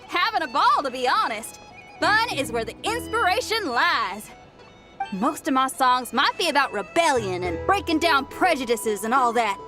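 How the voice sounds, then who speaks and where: Game role: breezy rebel rock girl, energetic slang, bouncy high delivery. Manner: slangy, energetic, laid-back talk. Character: upbeat young woman rock musician, rebellious and breezy. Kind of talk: video game character dialogue. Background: game music.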